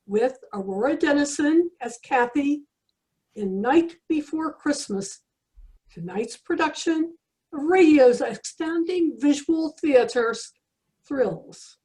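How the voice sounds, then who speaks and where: A woman's voice: sits high, lively intonation, slow pace, darkish tone, rough recording. A woman announcer reading a scripted introduction in old-time radio style.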